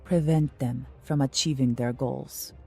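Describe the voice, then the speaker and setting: Imperious female royal spy played by an actress, cryptic and formal, deep mellow voice, faint music behind. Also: steady tone, a little slow, creaky, husky, exaggerated voice. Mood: grave, commanding. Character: mysterious, commanding female royal agent, coolly condescending. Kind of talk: steampunk audio drama acting.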